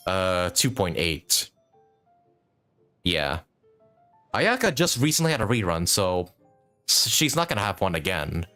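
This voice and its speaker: Low-voiced, animated man streaming a game. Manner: rambling, thinking aloud, mildly swearing. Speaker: live streamer. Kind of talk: casual gaming stream commentary.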